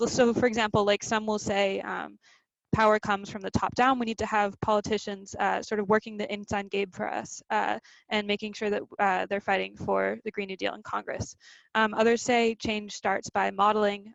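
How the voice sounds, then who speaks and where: A woman's voice: mid-range voice, gravelly, no room sound, dull audio. A woman of twenty giving a casual, filler-heavy presentation as a youth climate organizer. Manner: filler-heavy, loose explanatory talk.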